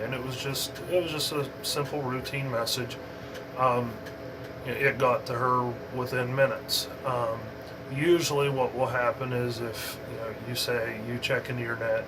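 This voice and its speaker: Man, mid-range voice, informal explainer, halting, rambling, unscripted, noticeable steady background hum. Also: medium pitch. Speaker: amateur radio hobbyist giving an introduction.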